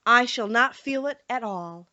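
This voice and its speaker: A woman voicing a gentle, grateful tin man in a storybook, speaking politely and sincerely. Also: fairly high pitch, a little slow, no room sound, dull audio. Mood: stoic.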